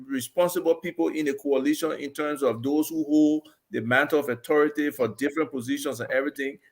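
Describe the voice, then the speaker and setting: A man's voice: mid-range voice, a bit bright. A man on a political talk show panel explaining his party's process and defending it.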